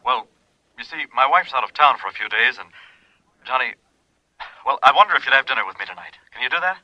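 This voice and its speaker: A very bright, quick voice of an anxious insurance man, thinned as if down a phone line. He stammers eagerly and dodges, in fifties radio drama style. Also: mid-range voice, lively intonation, no echo. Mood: nervous, evasive. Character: nervous, evasive, over-friendly male insurance office man. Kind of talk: 1950s radio drama acting. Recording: thin band-limited phone line, old radio.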